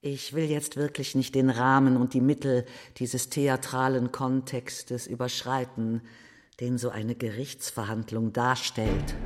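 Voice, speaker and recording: An actress playing an outraged, idealistic young journalist making a theatrical, impassioned plea in a radio play. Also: female voice, rumbling low, darkish tone. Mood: earnest, indignant. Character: outraged young journalist woman, passionate idealist pleading to a courtroom. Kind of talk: German radio play monologue. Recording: reverberant hall, music underneath. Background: music.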